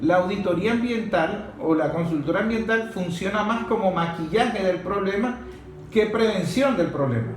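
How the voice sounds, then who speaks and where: A man's voice: high-pitched, lively intonation, moderate pace. A Venezuelan man hosting an interview, putting questions in a conversational but opinionated and insistent way. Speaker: interviewer, host. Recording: echoey room with faint noise.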